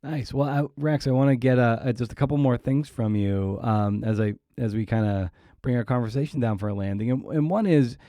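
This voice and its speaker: A man interviewing casually as a podcast host, halting as he searches for his words. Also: medium pitch, mellow, no echo, studio quality.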